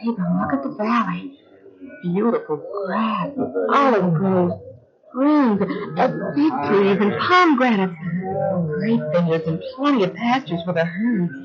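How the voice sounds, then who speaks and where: A woman playing an emotional, principled biblical wife in a radio drama, with a husky voice and expressive, pleading delivery. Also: medium pitch, unhurried, over a noisy crowd. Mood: delighted. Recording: muffled retro audio drama sound.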